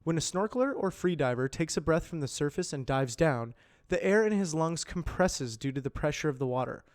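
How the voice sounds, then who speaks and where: A man reading scripted educational and corporate narration in a measured, clearly enunciated explainer delivery, as an amateur voice actor. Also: a little high, animated.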